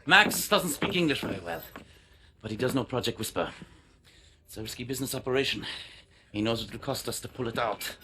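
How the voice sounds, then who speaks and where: A man's voice: medium pitch, a little fast, background noise, close to an everyday voice. A man playing an earnest, repentant German resistance member with a German accent in a video game. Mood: protective, gentle. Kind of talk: cinematic video game acting.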